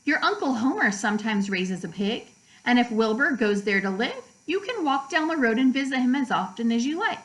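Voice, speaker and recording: A woman's voice: on the high side, sing-song, fairly quick, fairly bright tone, poor audio. A woman voicing a practical, helpful farmer's wife and mother in a children's storybook. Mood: helpful suggesting.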